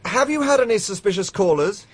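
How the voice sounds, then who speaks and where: An actor playing an earnest gentleman investigator in a radio drama, slightly husky. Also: sits high, lively intonation, fairly quick. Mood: concerned, chatty.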